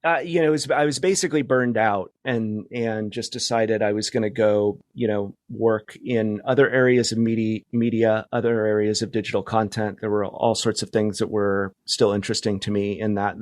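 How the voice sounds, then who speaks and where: A man's voice: lowish voice, steady tone, no echo. Reflective middle-aged man, dry close sound. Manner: halting, reflective, understated. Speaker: podcast guest, media professional. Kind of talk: casual conversational interview.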